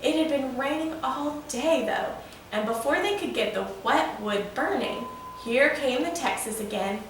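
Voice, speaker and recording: A woman's voice: sits high, animated, a little slow. A woman voicing a proud old male steam locomotive spinning a folksy, boastful yarn for a children's storybook. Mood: proud storytelling. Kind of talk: children's storybook read-aloud. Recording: roomy, echoing, distant mic with faint noise.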